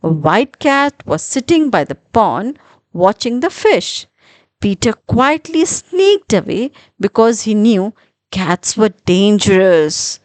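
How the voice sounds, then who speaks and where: A woman narrating a children's story in an expressive, deliberate storytelling manner with dramatic pauses. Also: medium pitch, dry recording.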